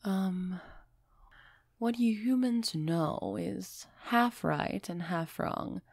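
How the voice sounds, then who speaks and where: A woman playing a refined, centuries-old female vampire in an intimate audio roleplay, gently imperious and softly teasing as she speaks straight to the listener. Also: mid-range voice, gravelly, dry recording, clean studio sound, heavily acted. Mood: candid, measured. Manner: slow, measured, softly teasing, addressing listener directly.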